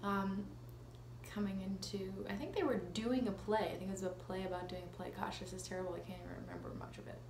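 A Canadian woman talks casually as an interview guest, with a mid-pitched voice. She is self-deprecating and a little halting. The living room is echoey, with faint ambient noise around her. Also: steady tone, vocal fry. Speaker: actress being interviewed.

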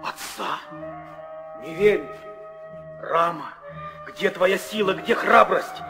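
Fiery young warrior prince, high-pitched. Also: male voice, slow, sharp tone, exaggerated voice. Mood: indignant. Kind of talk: 1960 theatrical audio drama acting. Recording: old radio play, music behind.

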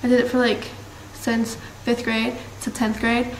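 A teenage girl from Massachusetts chatting to her webcam in a bright room, rambling and bubbly with lots of fillers. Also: a little high, level tone, unhurried. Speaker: vlogger. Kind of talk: casual chat to camera. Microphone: built-in camera mic, close webcam framing. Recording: roomy echo, faint background hiss.

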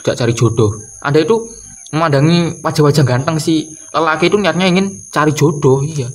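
A man, giving a casual comedic rant in a halting, repetitive, exasperated way. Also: on the high side. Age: early 20s. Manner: exasperated, halting, repeats phrases, slips in English swearing. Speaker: video creator. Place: doorway at home by a wooden door. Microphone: camera or phone close in front.

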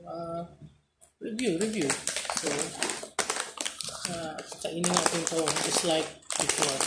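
A person in their thirties with a Filipino accent, a native Filipino speaker, chatting casually and ramblingly while reviewing a snack, often repeating phrases. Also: medium pitch. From Philippines. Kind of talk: casual chat, mixing Tagalog and English. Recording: roomy echo, noisy background, clicks.

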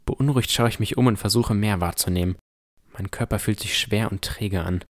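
A man's voice: on the low side, fairly quick. A man reading scripted first-person narration as the narrator of a fantasy audio drama.

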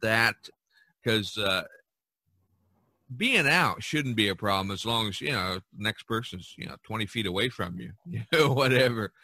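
Bright-voiced older man chatting jovially. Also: lowish voice, expressive, normal speed, husky, no echo. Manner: jovial, often chuckles as he talks. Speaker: podcast co-host. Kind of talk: casual chat.

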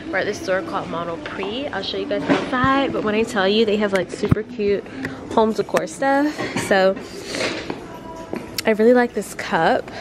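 A young woman talking casually to her camera, a little nasal from a cold and sprinkling "like" through every sentence. Her voice sits at a middle pitch, recorded up close from arm's length. Clear music plays underneath. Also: moderate pace. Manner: chatty, many "like" fillers. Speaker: vlogger. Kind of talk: casual travel vlog. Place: hotel room and shop, indoors. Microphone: handheld camera at arm's length.

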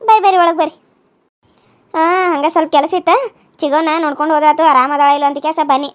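A woman's voice: sits high, fairly even intonation, close to an everyday voice. A woman voicing a kindly, fussing middle-aged visitor who coaxes and worries. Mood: welcoming. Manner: concerned, coaxing, brisk.

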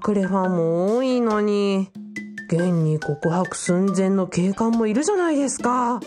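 A woman's voice: mid-range voice, animated, measured pace, lightly acted. A woman takes the part of a young male student in a radio play. He is earnest and easily flustered, with casual, deferential speech. Faint music plays behind the voice. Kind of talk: radio drama acting.

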